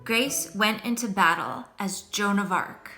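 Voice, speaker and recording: A middle-aged woman reading a picture book aloud to children in an expressive, deliberate storytelling manner. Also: medium pitch, soft background music.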